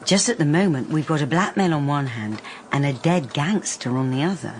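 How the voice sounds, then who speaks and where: A brisk British female sleuth in a radio play, her low voice swinging expressively up and down. She is direct and inquisitive, with plenty of noise behind her. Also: lively intonation. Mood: businesslike. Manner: pointed, questioning. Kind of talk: radio drama acting.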